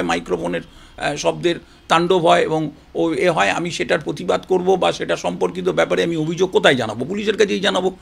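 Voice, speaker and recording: A man with a gravelly, husky voice, commenting haltingly in an interview. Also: high-pitched. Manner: halting, repeats himself.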